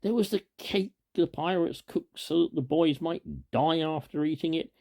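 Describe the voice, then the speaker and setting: A man reads a children's story aloud as a storyteller, with an expressive, emphatic lilt and a gravelly, husky voice. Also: on the high side, moderate pace.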